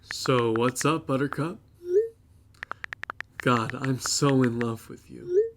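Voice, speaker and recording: A man's voice: mid-range voice, wildly swooping pitch, slow pace, background noise. A man playing an earnest, sweet young boyfriend in an audio drama. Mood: adoring. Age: young adult.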